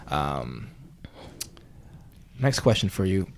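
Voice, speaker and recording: Guy acting as a bumbling earnest podcast host, lowish voice, rambles and hesitates, comedy mock interview. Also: sing-song, vocal fry, exaggerated voice. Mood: halting, earnest. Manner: halting, rambling, hesitant phrasing. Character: bumbling, earnest male talk-show host probing paranormal story.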